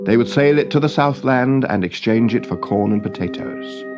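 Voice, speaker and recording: A man reading a scripted children's story in a measured, expressive storytelling manner. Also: mid-range voice, music in the background.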